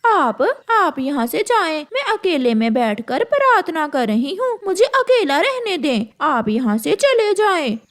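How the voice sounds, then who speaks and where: A cartoon crow wife played by a woman, young, furtive and flustered. Her voice sits very high and swings up and down as she pleads and stumbles over her excuses. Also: big pitch swings, measured pace, hardly any pauses. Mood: nervous, pleading.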